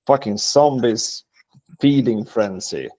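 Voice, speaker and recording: A man's voice: medium pitch, slow, warm tone, no room sound. A man from a band talking casually in an interview, with lots of filler words and swearing. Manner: filler-heavy, profane. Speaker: band member.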